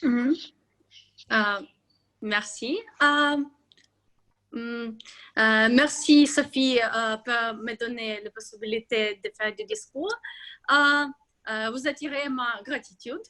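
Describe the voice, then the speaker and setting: Woman, high voice, slow halting delivery, filler sounds, video call. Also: sharp tone. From Ukraine. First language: Russian and Ukrainian. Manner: hesitant, many filler sounds, restarts words. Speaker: club member giving a speech. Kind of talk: hesitant prepared speech.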